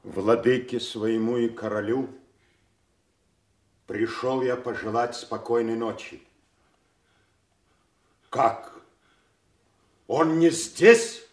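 A man's voice: mid-range voice, very slow, long pauses, echoey room. An actor playing a grave nobleman, loyal servant of a king, in a Shakespearean audio drama. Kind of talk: classical Shakespearean stage acting for audio drama.

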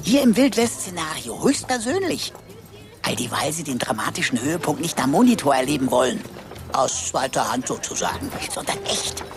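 A woman's voice: low-pitched, big pitch swings, normal speed, soft background music. A woman playing a servile, cheeky computer assistant in a radio drama, with flowery, mock-humble theatrical speech. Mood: smug, teasing.

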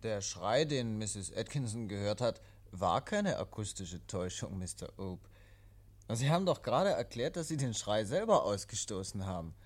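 Unruffled police inspector, lowish voice, dry and precise delivery, old 1950s radio play. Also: male voice, big pitch swings, moderate pace. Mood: firm, patient. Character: calm, matter-of-fact male police inspector, patiently probing. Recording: vintage 1951 radio drama recording.

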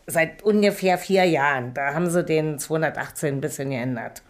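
A woman's voice: sits low, a little fast, close to an everyday voice. An actress playing a practical, gossipy landlady in a German radio drama, chatty and matter-of-fact, as one who knows how things are done. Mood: knowing, practical. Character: practical, gossipy, knowing landlady, worldly and helpful.

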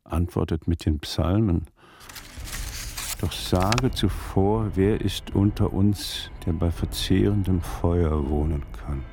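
Very deep, gravelly learned magister. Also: male voice, dark tone, heavily acted. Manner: deliberate, rhetorical, reciting. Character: learned, brooding older magister in old Italy. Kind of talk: German radio drama acting.

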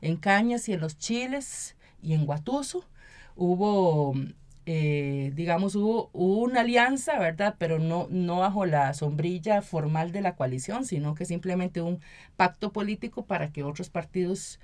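A Costa Rican woman speaking as an interview guest in an earnest, deliberate, formal explanatory way. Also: fairly low pitch, expressive, darkish tone. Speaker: interview guest, activist and municipal representative. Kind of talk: radio interview, explanatory talk.

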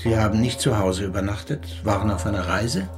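An actor playing an older, courteous, sardonic police detective in a radio crime drama, with a dry, old-fashioned manner. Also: male voice, fairly low pitch, fairly quick, music in the background, close to an everyday voice. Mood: calm, probing.

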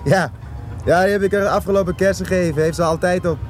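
An actor playing an easygoing, teasing but guarded driver in a radio drama, talking in a relaxed, casual way. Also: male voice, high voice, fast talker, faint music behind, natural voice. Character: easygoing South African geologist driver, friendly but guarded. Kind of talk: naturalistic radio drama acting in dialogue.

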